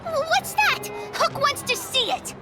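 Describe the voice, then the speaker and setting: A woman voicing an excitable, cheeky little girl in a video game, eager and childlike in manner. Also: very high voice, slow, exaggerated voice. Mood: excited, eager. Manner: eager, childlike, speaks of self in third person. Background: game music.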